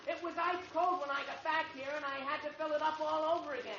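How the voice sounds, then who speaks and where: A man's voice: very high-pitched, steady tone, fast talker, bright, forceful tone, exaggerated voice. An actor playing an earnest, awkward teenage boy with a hesitant, innocent manner in 1940s radio comedy style. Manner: hesitant, gee-whiz innocent. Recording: dull, noisy old radio recording.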